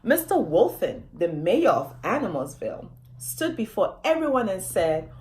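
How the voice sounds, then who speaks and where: A woman's voice: medium pitch, very animated, measured pace, a little mellow. A woman reading her children's book aloud in a dramatic storytime style, often putting questions to young listeners.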